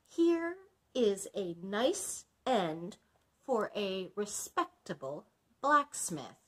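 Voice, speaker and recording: Woman playing a timid polite blacksmith, lively pitch. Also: very slow, exaggerated voice. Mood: nervously polite. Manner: polite, careful diction. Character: timid, respectable male blacksmith, polite and trembling.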